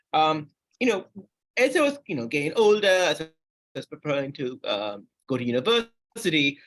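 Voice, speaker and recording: Lively Sri Lankan-raised male professor. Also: fairly high pitch, poor audio. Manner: lively, with frequent restarts and rising emphasis. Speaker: psychology professor giving a seminar talk. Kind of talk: academic seminar lecture.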